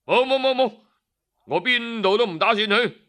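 A man's voice: extremely high pitch, moderate pace, pushed and bright. A male storyteller with a Cantonese accent voicing a proud, stubborn exiled nobleman who repeats himself emphatically. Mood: evasive.

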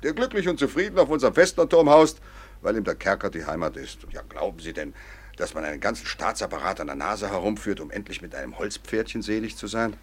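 An outspoken, husky-voiced mayor. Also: male voice, lowish voice, lively intonation, fast. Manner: earnest, indignant in defending a victim. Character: warm-hearted, outspoken middle-aged mayor. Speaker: town mayor. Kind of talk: radio play acting.

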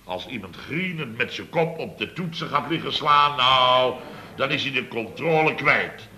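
Sharp, blustering boss. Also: male voice, medium pitch, expressive, measured pace, roomy sound, exaggerated voice. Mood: blustering, sarcastic. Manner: emphatic storyteller, repeats himself, sardonic. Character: blustering, exasperated male boss of a small building firm. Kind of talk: 1970s radio comedy acting. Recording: noisy vintage radio recording.

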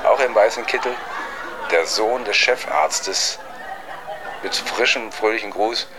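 A man's voice: fairly low pitch, unhurried, crowd noise, noisy background. A man telling a long joke in a spontaneous, rambling way, circling back and acting out short quotes. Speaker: storyteller. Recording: loud music underneath the voice. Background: loud instrumental music.

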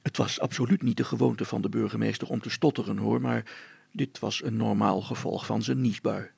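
A man narrates a children's tale with a very gravelly, rough voice. His delivery is deliberate, old-fashioned storytelling, recorded dry with no room sound. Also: fairly low pitch, fairly even intonation, darkish tone, no echo.

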